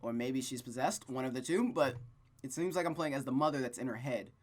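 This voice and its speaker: A man with a middling pitch reads a visual novel aloud, then drifts into casual commentary. He rambles and stammers, poking fun at himself as he goes. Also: lively intonation, brisk. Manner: rambling, self-deprecating, stammers often. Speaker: host reading a game aloud. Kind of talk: let's play reading with casual commentary.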